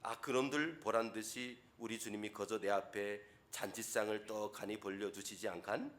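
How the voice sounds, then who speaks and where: A man's voice: fairly low pitch, fairly even intonation, moderate pace, fairly bright tone, lightly acted. A man reading a psalm as a folksy North Korean speaker with a Pyongan dialect accent, emphatic and plain-spoken. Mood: hearty.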